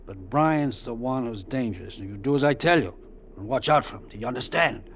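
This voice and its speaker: Male actor as commanding crime boss. Gravelly, calculating, scornful; vintage radio suspense with faint hiss. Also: medium pitch, animated, fairly quick, natural voice. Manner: authoritative, cutting, gives orders. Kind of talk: old-time radio suspense acting.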